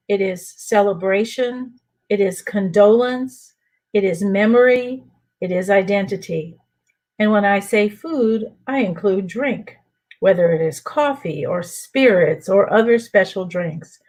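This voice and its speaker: An older woman from New Orleans reads a passage from her own book. Her delivery is measured and deliberate, with a mid-range pitch. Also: a little mellow. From New Orleans, USA. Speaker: author reading her book. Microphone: home computer mic for an online reading.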